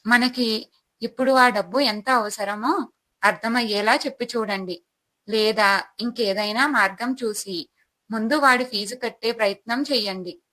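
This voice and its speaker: A woman acting as a worried wife and mother in a moral tale. Her voice is bright and fairly high, and she urges and chides reproachfully. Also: unhurried, poor audio. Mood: urging. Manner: urging, chiding.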